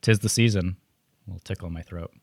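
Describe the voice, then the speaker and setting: A man's voice: low-pitched, normal speed, gravelly, no room sound, clean studio sound. A man hosting a podcast, reading a scripted intro and then chatting playfully with his guest. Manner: animated, playful delivery. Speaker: podcast host.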